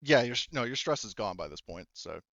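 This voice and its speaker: Halting man running a tabletop game, dull sound. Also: mid-range voice, expressive, gravelly, a bit bright, no echo. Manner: halting, hedging, swears casually. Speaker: game master. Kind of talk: casual tabletop game narration and chat.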